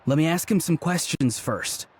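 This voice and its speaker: An actor voicing a laid-back young man in a video game, talking in a flat, deadpan, unbothered way. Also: on the high side, normal speed, husky. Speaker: game character.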